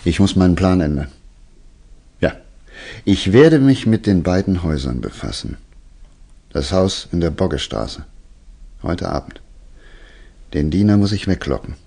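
A man's voice: low-pitched, big pitch swings, measured pace, vocal fry, pauses often, warm tone, husky, exaggerated voice. A man playing a brooding, self-assured, secretive detective in a German radio play, clipped and commanding in manner. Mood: brooding, frustrated. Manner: muttering to himself, clipped, commanding.

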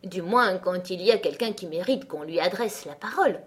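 A woman's voice: on the low side, lively intonation, moderate pace, close to an everyday voice. A woman voicing a proud, short-tempered tiger lily in a children's storybook, with a commanding, scolding manner. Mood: haughty.

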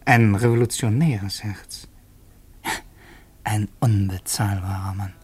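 A husky young revolutionary. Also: male voice, lowish voice, fairly even intonation, slow pace, darkish tone, no echo. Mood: tense. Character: young male revolutionary student, tense. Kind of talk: first-person radio play narration.

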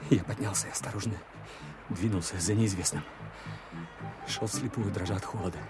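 Over loud music comes a low voice: a tense young soldier telling his own wartime story in a radio drama. He is hesitant and confiding, and narrates with dramatic care. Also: fairly quick, vocal fry. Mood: tense, urgent. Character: young Soviet counterintelligence soldier on night surveillance, obedient, tense. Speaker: first-person narrator. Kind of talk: dramatic scripted narration in a war radio play. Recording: music bed under radio play.

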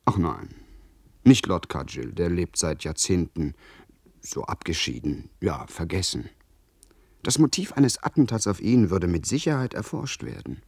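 A man's voice: deep voice, expressive, measured pace, a little mellow, breathy edge, no room sound, clean studio sound, exaggerated voice. An actor playing an earnest young English nobleman in a German radio play, with theatrical, formal diction. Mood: doubtful.

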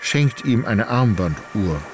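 A man acting as narrator of a literary radio drama, an ornate, wry storyteller with a measured delivery. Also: fairly low pitch, animated. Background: music.

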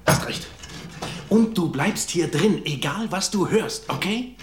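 A man's voice: high voice, lively intonation, a little slow, in a large hall. A man playing a kindly middle-aged father who is flustered but reassuring in an audio drama. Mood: flustered, urgent. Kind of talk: German film audio drama dialogue. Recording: loud music under the dialogue.

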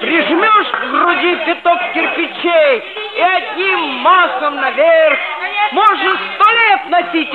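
A woman's voice: very high voice, exaggerated voice. A woman playing a boastful, touchy schoolboy who tells his story expressively and earnestly in a 1960s Soviet radio play. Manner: expressive, self-important, earnest. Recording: dull old radio recording, faint music.

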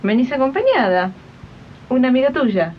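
A woman's voice: high-pitched, very animated, moderate pace, a little hiss or hum. A woman playing a haughty, suspicious lady of the house in a 1980s community radio drama, condescending and nagging, with an Argentine accent. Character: haughty, suspicious female employer of a maid. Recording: muffled 1980s archive radio recording.

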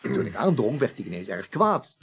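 An actor playing an evasive office superior under questioning in a Dutch radio play, hesitant, rambling and defensive. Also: male voice, medium pitch, big pitch swings, fairly quick, creaky, a little hiss or hum. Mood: uneasy recounting.

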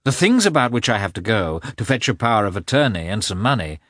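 A man's voice: fairly low pitch, big pitch swings, quick pace, fairly bright tone, no room sound, studio quality. A man voicing a proud young officer who talks in a clipped, tense way, holding back anger, in an audiobook reading. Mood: insistent. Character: proud young male officer, strained and restrained.

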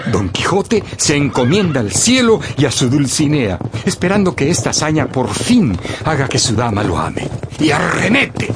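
Animated male radio narrator. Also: medium pitch, heavy background noise. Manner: expressive storyteller. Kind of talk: scripted storytelling narration for radio.

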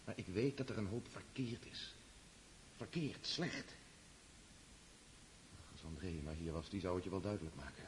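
An actor in a Dutch radio drama playing a brooding, earnest young Russian count who reproaches himself. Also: male voice, low voice, normal speed, pauses often. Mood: earnest, troubled. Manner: earnest, self-accusing. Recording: hissy old radio play recording.

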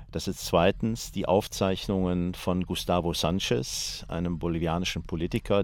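A man's voice: deep voice, dry recording. A man giving methodical explanatory commentary as the author of a documentary.

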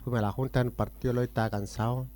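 A man's voice: medium pitch, fairly even intonation, racing, a little mellow, soft background music. A Chilean man being interviewed on a radio show, telling rambling stories that jump between topics. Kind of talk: casual rambling storytelling.

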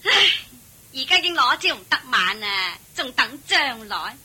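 A scornful young wife in a Cantonese radio drama. Her voice is high and very sharp, with a husky edge. She scolds with a sneering, scheming tongue. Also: sing-song, moderate pace, full character voice. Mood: bitter, contemptuous.

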